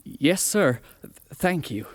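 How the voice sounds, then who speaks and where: A man's voice: fairly high pitch, animated, takes it slowly, long reverb tail, very noisy. An actor playing an earnest, nervous sixteen-year-old boy in a western audio drama, polite and hesitant. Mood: nervous, obedient.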